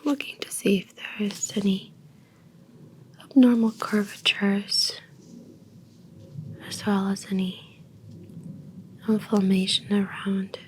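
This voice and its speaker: A woman whispering softly in an ASMR medical exam roleplay, speaking deliberately in short phrases with pauses between them. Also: mid-range voice, fairly even intonation, a little hiss or hum. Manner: slow, deliberate, soft-spoken, pausing between short phrases. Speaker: ASMR performer acting as a medical examiner.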